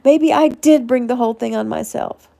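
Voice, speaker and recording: A woman's voice: on the high side, normal speed, gravelly, warm tone, pitched above the performer's own voice. A woman voicing a timid, distraught, self-blaming character in a story, stammering and tearfully repeating her words. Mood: tearful despair.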